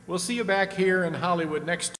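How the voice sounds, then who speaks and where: A man playing the jovial host of an old-time radio stunt show, chatting to the audience and pitching sponsors. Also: brisk, a bit bright. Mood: upbeat. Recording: echoey hall with clear background noise.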